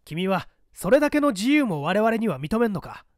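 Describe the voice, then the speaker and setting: Man acting a defiant high-pitched party operative. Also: very animated, normal speed, no room sound, clean studio sound. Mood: indignant. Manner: argumentative, formal, rhetorical. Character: defiant, questioning male party operative, cool and sardonic. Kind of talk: dramatic audiobook character dialogue.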